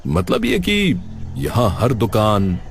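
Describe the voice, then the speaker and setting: Man playing curious young student, very animated intonation. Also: on the low side, takes it slowly, vocal fry, a little hiss or hum, exaggerated voice. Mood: inquisitive. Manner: polite, inquisitive. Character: curious young male student researching a village story. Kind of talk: animated story dialogue.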